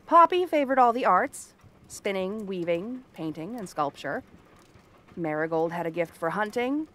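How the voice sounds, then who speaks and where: A woman playing a cranky, cursed frog-girl princess in an ASMR fantasy roleplay, haughty, sardonic and theatrical with a soft spot underneath. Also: lowish voice, full character voice.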